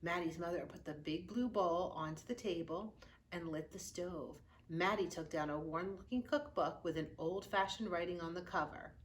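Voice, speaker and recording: A woman reading a children's picture book aloud with expressive, dramatic storytelling and drawn-out key words. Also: lowish voice. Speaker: youth services librarian reading aloud.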